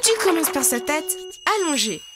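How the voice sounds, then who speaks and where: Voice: high voice, expressive, normal speed. A performer voicing a cheerful, helpful young child who explains things encouragingly in a preschool cartoon. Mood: instructive. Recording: music behind the voice.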